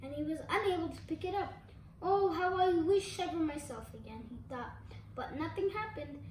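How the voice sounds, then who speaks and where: A child reading a storybook aloud in a careful, deliberate way. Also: extremely high pitch, darkish tone. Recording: echoey room, faint background noise.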